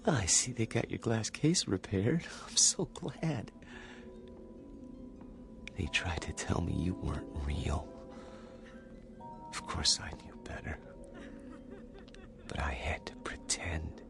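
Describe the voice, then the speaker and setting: An actor playing a lonely, shy man in love with a doll, talking in a soft breathy whisper in a radio drama. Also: low-pitched, slow, long pauses, over music, exaggerated voice. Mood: tender.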